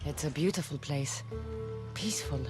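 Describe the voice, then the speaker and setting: An actress playing a confident mercenary heroine in a video game, speaking with commanding self-assurance. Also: female voice, low voice, normal speed, music in the background, lightly acted. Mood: calm, curious. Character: confident female ancient Greek mercenary heroine. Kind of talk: dramatic video game cutscene acting.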